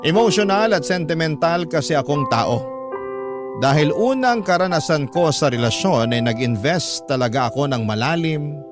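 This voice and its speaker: A man's voice: mid-range voice, close to an everyday voice. An actor playing a sentimental young man who narrates his love story for a radio drama in an earnest, confiding way. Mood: wistful, heartfelt. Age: young adult.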